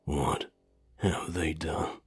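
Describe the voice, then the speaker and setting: A man voicing a grave, ancient, commanding male elder creature in a visual novel, with a gravelly voice and solemn, formal delivery. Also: steady tone, extremely slow, slight background noise, exaggerated voice. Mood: shocked, grave. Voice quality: very deep, gravelly, husky.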